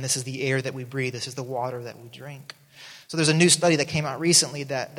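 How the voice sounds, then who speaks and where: A man's voice: mid-range voice, a bit bright, long reverb tail. A man in his thirties who grew up in South America, preaching a sermon in an earnest way and often restarting his sentences. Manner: earnest, repeats words when starting a thought. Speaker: pastor.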